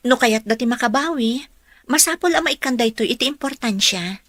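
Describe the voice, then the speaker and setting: An eager young office hopeful in a radio drama, with a high, bright voice. She is polite and respectful, speaks with a Filipino accent and sounds close and dry. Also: lively intonation, normal speed, no echo. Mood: earnest, respectful. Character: eager, polite young woman graduate seeking office work. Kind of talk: Ilocano radio drama acting.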